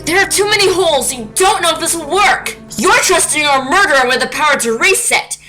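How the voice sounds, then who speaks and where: A woman voicing a bitter, desperate male skeleton in a dramatic comic dub, intense and halting, over music. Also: high voice, animated. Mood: angry, accusing.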